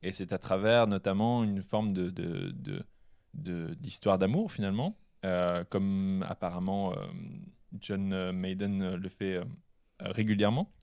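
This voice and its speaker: A man co-hosting a film podcast, giving a measured review with frequent hesitations. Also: low-pitched, expressive, gravelly, darkish tone, no room sound, studio quality. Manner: hesitant, many fillers and restarts, measured. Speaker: podcast co-host. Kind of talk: casual film review chat.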